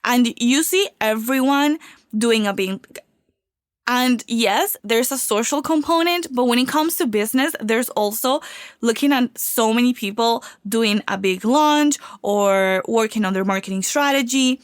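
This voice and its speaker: A woman's voice: fairly high pitch, a little slow, no echo, clean studio sound. Woman hosting a podcast. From Venezuela. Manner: upbeat, heartfelt, confiding. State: getting over a cold. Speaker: podcast host. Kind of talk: solo podcast talk.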